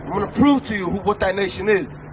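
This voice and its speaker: High-voiced emphatic street preacher man. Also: fast talker. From El Salvador. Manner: emphatic, rhetorical questions, commanding scripture reads. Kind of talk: street sermon. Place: outdoors on a city street. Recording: street traffic noise.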